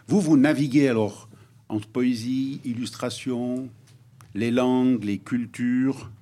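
A man moderating a panel discussion, introducing guests in a lively, playful way. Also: medium pitch, slow.

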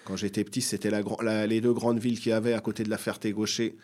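A man's voice: fairly low pitch, flat delivery, quick pace, a little mellow. A middle-aged man from Seine-et-Marne, France, answering as an interview guest, hesitant and often repeating his phrases. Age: 40s or 50s. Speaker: guest writer. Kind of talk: interview answers, personal recollections.

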